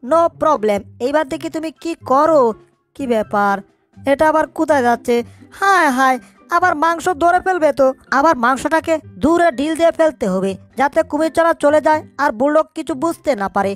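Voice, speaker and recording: A woman's voice: sits high, fairly quick, faint music behind, lightly acted. A woman voicing a small, crafty, bossy cartoon mouse, scolding and narrating its own schemes. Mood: alarmed, scheming. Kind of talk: cartoon dub in Bangla.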